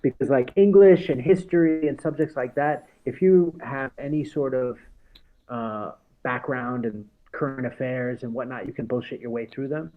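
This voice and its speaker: A man's voice: mid-range voice, moderate pace, narrow, tinny audio. A man in his forties from the United States, a podcast guest telling stories in casual conversation, laughing often and restarting with fillers. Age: 40s.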